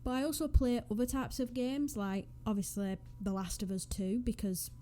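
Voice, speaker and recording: Mellow-voiced Northwest English young woman. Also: a little high, fairly even intonation, normal speed. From northwest England. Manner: rambling, self-deprecating, giggly asides. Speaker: Sims YouTuber. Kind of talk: casual voice-over chat over a speed build. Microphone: USB desk microphone.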